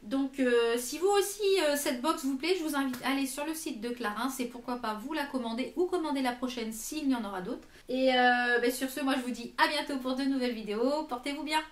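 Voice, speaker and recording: A woman in her late thirties giving a casual product review in a chatty, hesitant manner, pausing to read labels aloud. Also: high-pitched, a little hiss or hum. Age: late 30s. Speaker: beauty vlogger presenting a product box. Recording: echoey room.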